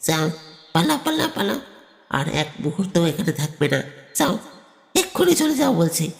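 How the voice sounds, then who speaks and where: A man voicing a stern old village man who urgently scolds and warns of spirits, with a creaky voice. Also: sits high, sing-song, a little slow, pitched above the performer's own voice, full character voice. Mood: urgent scolding. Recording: long reverb on the voice.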